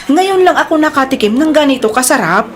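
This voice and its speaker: High-voiced sweet-talking wife acted by a woman. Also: animated, a little fast. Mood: delighted. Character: sweet-talking wife, uneasy accomplice to her husband. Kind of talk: radio drama acting in Tagalog.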